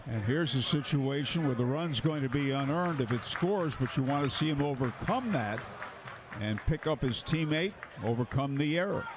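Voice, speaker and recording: A man's voice: medium pitch, normal speed, a crowd in the background. Male baseball analyst, faint ballpark noise. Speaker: baseball color commentator. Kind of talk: analytical live game commentary. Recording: outdoor stadium ambience behind voice. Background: ballpark ambience.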